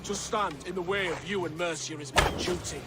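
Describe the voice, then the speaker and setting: An actor playing a proud, stubborn middle-aged warrior thane in a video game, speaking gruffly. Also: male voice, high voice, a little fast, very noisy, natural voice. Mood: defiant. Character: defiant older male warrior thane. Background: game score.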